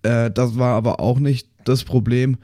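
A man's voice: medium pitch, fairly even intonation, normal speed, dark tone, no room sound, clean studio sound. A man on a podcast improvising a story in a conversational way. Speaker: podcast cast member.

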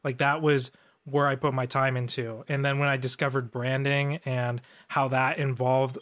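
A man co-hosting a casual chat, relaxed and filler-heavy, saying "like" a lot. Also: medium pitch, normal speed. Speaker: designer co-host.

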